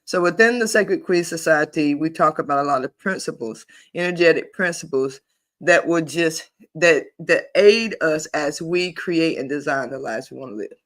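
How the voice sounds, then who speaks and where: A man hosting a casual spiritual talk on a live online session, animated and emphatic, with easy laughs, repeated phrases and rhetorical questions. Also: fairly high pitch, moderate pace. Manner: repeats and restarts while building a point, informal and folksy. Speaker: host of a paid spiritual membership group.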